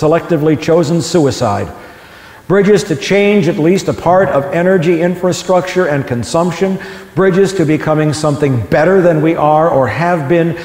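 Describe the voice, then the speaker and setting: A man's voice: a little high, darkish tone. Impassioned man reading in an echoey hall. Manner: impassioned, building to emphatic peaks. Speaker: public speaker. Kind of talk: live talk reading his essay to an audience.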